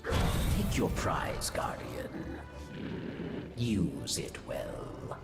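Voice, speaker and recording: A man's voice: deep voice, very animated, extremely slow, heavily acted. A man playing a grim alien hunter in a video game, giving orders in clipped, cryptic broken sentences. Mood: grim, commanding. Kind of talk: video game cutscene acting. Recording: echoey, under loud game music.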